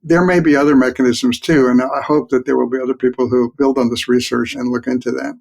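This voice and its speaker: A man of mature years answers interview questions as an expert guest. He explains things in a measured, plain-spoken manner, with a mid-range voice and a reflective turn. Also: mid-range voice, steady tone, creaky, darkish tone. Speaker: guest expert, physician researcher.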